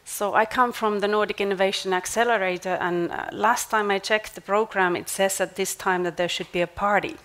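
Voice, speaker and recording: Woman in her forties, conference stage pitch, measured delivery, clip mic. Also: fairly even intonation, fairly bright tone. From Finland. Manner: measured, repeats words at restarts. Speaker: startup accelerator CEO.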